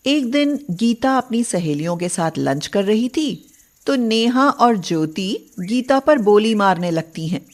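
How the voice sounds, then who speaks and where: A woman's voice: mid-range voice, expressive, unhurried. A woman narrating a scripted story as the storyteller.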